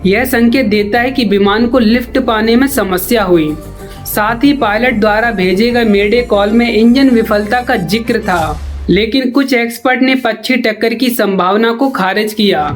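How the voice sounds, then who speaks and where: A woman's voice: fairly high pitch, normal speed. A woman delivers scripted documentary-style news narration. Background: background music.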